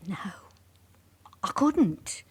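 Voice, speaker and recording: A woman's voice: very slow, dark tone. Female neighbourhood witness, radio play: emphatic, gossipy, indignant yet fearful. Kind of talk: naturalistic radio drama acting.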